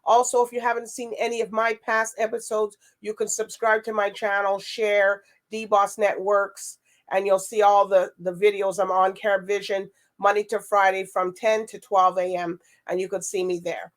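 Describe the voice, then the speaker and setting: A woman's voice: mid-range voice, flat delivery, moderate pace. Female talk-show host, casual and chatty, mid-range voice.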